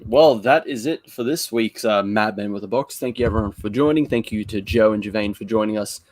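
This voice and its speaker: A man's voice: lowish voice, a little fast, darkish tone, no echo. A man co-hosting a casual review chat, talking in a rambling, informal and repetitive way. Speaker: show co-host.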